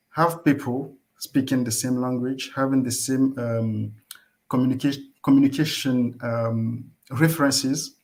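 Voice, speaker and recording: Male guest, mid pitch, halting explainer full of uhs and restarts. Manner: halting, many uhs and self-corrections. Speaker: guest researcher. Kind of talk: explaining in an interview.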